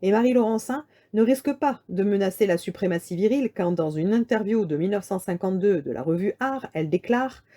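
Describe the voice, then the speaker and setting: A woman reading a scripted lecture in a measured, articulate way with deliberate emphasis. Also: mid-range voice, animated, mellow. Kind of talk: scripted lecture read aloud.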